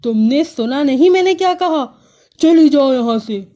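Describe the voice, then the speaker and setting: A woman voicing a greedy, bullying male crow who talks in a loud, drawn-out, gloating way. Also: on the high side, natural voice. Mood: bossy.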